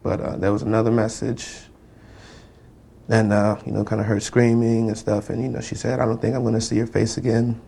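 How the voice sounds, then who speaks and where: A man speaking as a family member in an interview, recalling events in a hesitant, halting way. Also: lowish voice, level tone, vocal fry, mellow, slight background noise. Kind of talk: personal recollection in a media interview.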